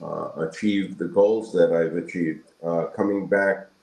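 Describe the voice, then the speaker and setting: A man in his sixties from Brooklyn, answering interview questions in a measured, halting, reflective way with pauses between phrases. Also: low-pitched, fairly even intonation, vocal fry, darkish tone. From Brooklyn, New York, United States. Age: 60s. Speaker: guest, periodontal surgeon. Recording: roomy echoing sound.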